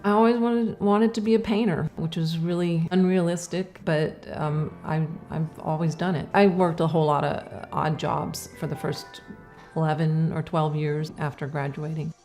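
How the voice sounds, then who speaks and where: A middle-aged woman, an artist, talking in a casual interview, reflective and halting with many fillers and restarts. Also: low voice, fairly even intonation, a little mellow, faint music behind.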